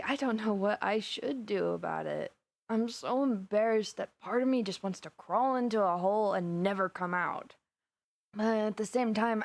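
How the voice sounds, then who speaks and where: A woman's voice: medium pitch, vocal fry, darkish tone, dry recording, close to an everyday voice. A woman playing a flustered, lovestruck supervillainess in a roleplay audio drama, chatting intimately and dramatically to her best friend. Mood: vulnerable, sincere. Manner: chatty, dramatic, playfully indignant, with sighs and gasps. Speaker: voice actor.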